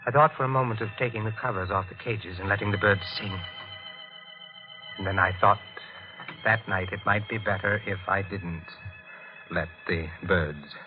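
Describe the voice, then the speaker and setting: Male actor as nervous brooding husband, confessional, measured, lowish voice, 1940s radio drama, dull lo-fi sound. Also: lightly acted. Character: nervous, brooding older husband, secretive bird keeper with murderous past. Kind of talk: 1940s radio acting, first-person narration. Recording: muffled old radio, loud music behind.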